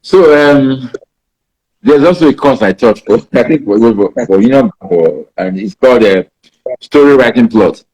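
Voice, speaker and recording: A Nigerian man in his fifties, a native Igbo speaker, answers interview questions about writing in a rambling way, filling pauses with "you know". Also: mid-range voice, animated, a little mellow, poor audio. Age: 50s. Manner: rambling, many "you know" fillers and restarts. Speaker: writer being interviewed.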